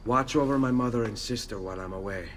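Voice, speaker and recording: A man's voice: medium pitch, fast talker, a little hiss or hum, lightly acted. An actor playing a young Italian nobleman hero, speaking earnestly and with resolve in an Italian accent. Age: young adult.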